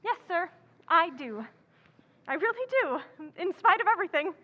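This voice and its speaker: An actress playing an outspoken, impetuous young writer, chattering and blunt, in an old-time radio drama. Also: female voice, very high voice, very animated, moderate pace, muffled recording. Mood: nervously blunt.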